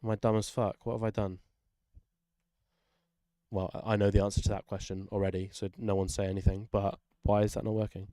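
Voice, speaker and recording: A man thinking aloud in a casual, self-deprecating, sweary way as he works through a music software session. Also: fairly low pitch, little pitch movement, fairly quick, no room sound.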